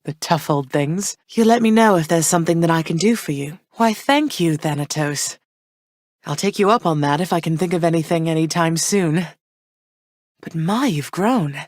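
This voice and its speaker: A gracious underworld queen and loving mother, speaking in a lowish, calm voice with warm reassurance. Her lines are polished game dialogue recorded dry and close, with no room sound. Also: moderate pace, dry recording. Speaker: game character.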